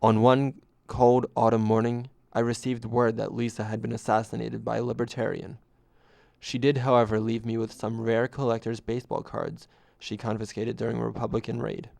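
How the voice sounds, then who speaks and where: A man narrating a scripted first-person story in a deadpan way. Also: mid-range voice, normal speed, creaky, no echo.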